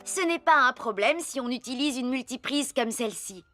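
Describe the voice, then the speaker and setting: Earnest young cartoon girl, high and clear. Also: animated, normal speed. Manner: careful, explanatory. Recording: music underneath.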